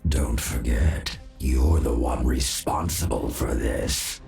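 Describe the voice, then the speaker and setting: An actor playing a grim, vengeful mercenary wraith in a video game, speaking in a menacing, gravelly half-whispered growl. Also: male voice, a bass voice, darkish tone, faint music behind, full character voice. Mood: accusing menace. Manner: slow, menacing, accusing. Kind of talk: video game character acting.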